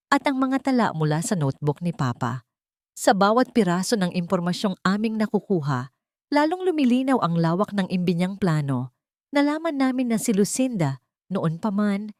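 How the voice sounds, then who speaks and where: A woman's voice: on the low side, a little mellow, no echo, clean studio sound. A woman reading a scripted first-person drama story in an earnest, dramatic storytelling manner. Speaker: story narrator.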